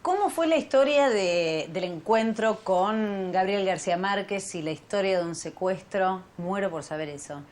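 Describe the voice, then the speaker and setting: A woman interviewer speaks in a conversational way. Her voice sits at an average pitch, with faint noise in the background. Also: lively intonation, normal speed, few pauses, a little mellow.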